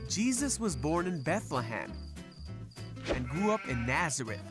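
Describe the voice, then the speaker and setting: A man reading scripted narration for an animated Bible story for children. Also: fairly high pitch, measured pace. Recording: music bed under the voice. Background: background music.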